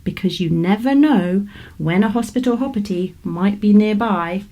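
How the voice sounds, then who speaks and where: A woman with a British accent reading a children's story aloud in an expressive storytelling manner. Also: medium pitch, normal speed. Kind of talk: scripted children's story narration.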